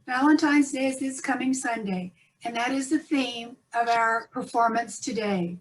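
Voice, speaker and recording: A woman's voice: a little high, moderate pace, low-quality recording. A woman host giving a welcome speech to introduce a performance. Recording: noticeable background noise.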